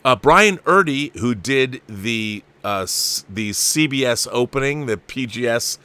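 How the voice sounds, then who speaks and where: A man's voice: mid-range voice, animated, a little slow, sharp tone, smooth. A man hosting a casual live show, chatting enthusiastically with rambling asides and reading viewer comments aloud.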